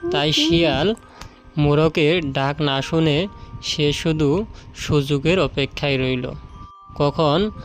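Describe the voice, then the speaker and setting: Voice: medium pitch, slow pace, pauses often. A narrator telling a scripted children's story as a storyteller. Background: background music.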